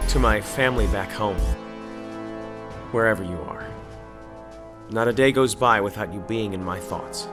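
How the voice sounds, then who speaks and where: A man acting as an earnest war correspondent in a video game, speaking in a solemn, deliberate, weary yet hopeful way. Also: medium pitch, close to an everyday voice. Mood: somber. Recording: loud music under the voice.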